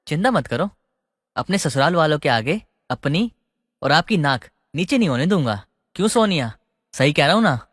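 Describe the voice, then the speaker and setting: A man's voice: fairly high pitch, very animated, moderate pace, a bit bright, clean studio sound. Man playing young husband, long reverb. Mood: cheerful. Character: eager, bashful young newlywed husband.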